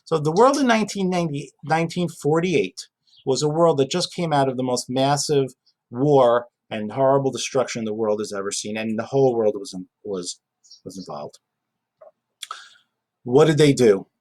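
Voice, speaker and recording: A man's voice: mid-range voice, moderate pace, darkish tone, low-quality recording. A man giving an informal lesson as a teacher, conversational, asking and answering his own questions and repeating key phrases. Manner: conversational, asks and answers own questions, emphatic repetition, casual asides. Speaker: GED reading teacher. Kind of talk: informal spoken lesson, explaining history and reading a passage aloud.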